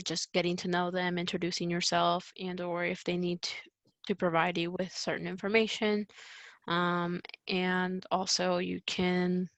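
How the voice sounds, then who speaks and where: Female tutorial presenter, conversational and instructional, creaky voice, close dry sound. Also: on the low side, a bit bright, no room sound. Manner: checks in with "right?" and "you know". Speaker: presenter giving a how-to tutorial. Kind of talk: informal instructional walkthrough.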